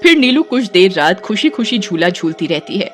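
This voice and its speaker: A woman's voice: medium pitch, very animated, normal speed. Woman, narrator, scripted storytelling, background music. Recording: music behind the voice.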